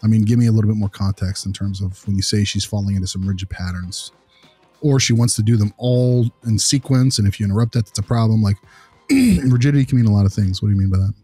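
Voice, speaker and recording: Man, lowish husky voice, casual probing questions, dry close sound. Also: fast, warm tone, no room sound, faint music behind. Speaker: consulting analyst in role-play. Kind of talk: improvised conversational role-play.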